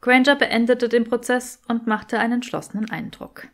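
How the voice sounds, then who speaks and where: A woman reading fiction as an audiobook narrator, in expressive scripted narration. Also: on the high side, normal speed.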